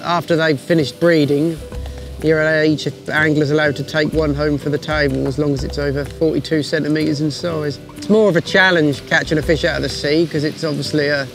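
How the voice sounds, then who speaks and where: A man's voice: a little high, steady tone, brisk. A young man, talking informally and plainly as a sea angler in a documentary-style piece. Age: young adult. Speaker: sea angler and crab fisherman. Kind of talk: informal documentary-style talk to camera about fishing. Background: music.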